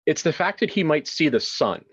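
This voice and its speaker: A man improvising narration for a tabletop role-playing game in a halting way, often repeating words as he searches for the next one. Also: on the high side, big pitch swings, fairly bright tone, slightly rough, muffled recording. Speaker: tabletop role-player narrating his character. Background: background music.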